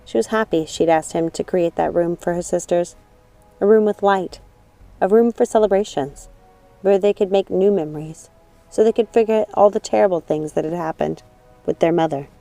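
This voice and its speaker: A woman reading scripted storybook narration in a measured, expressive manner. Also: on the low side, creaky, soft background music. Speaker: audiobook narrator.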